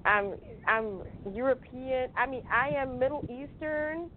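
Animated woman, a touch high, emphatic and repetitive, phone-quality line. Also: a little slow, a little hiss or hum. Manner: animated, exclamatory, repeats herself for emphasis. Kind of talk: casual chat. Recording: tinny phone-line sound.

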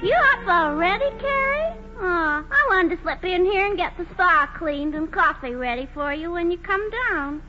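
A muffled old radio play with faint music. an eager, folksy household helper in an extremely high voice. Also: female voice, lively intonation, normal speed, few pauses, sharp tone, full character voice. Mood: apologetic, eager. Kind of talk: 1940s radio drama acting.

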